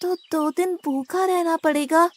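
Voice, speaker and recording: A woman's voice: very high voice, steady tone, a little slow. A woman voicing a naive, blunt, stubborn young village lad with a big appetite in a moral story. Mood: questioning. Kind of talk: animated moral story dialogue in Hindi.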